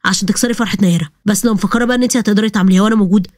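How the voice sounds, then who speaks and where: A woman voicing an angry young groom who scolds his sister, speaking with an Egyptian accent and a lowered voice. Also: racing, a little mellow, dry recording, close to an everyday voice. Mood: angry scolding.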